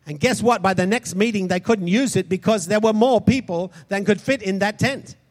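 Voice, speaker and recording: A man preaching a sermon, impassioned, swinging between loud shouts and soft, quick asides. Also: sits high. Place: large hall converted into a church.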